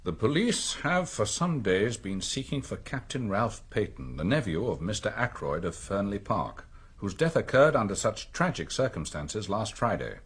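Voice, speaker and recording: An actor playing a curious, mildly skeptical English village doctor in a radio drama, with a British accent and a dry, proper manner. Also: male voice, on the low side, very animated, normal speed, breathy edge. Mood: dry, narrating. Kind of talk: radio drama acting and first-person narration.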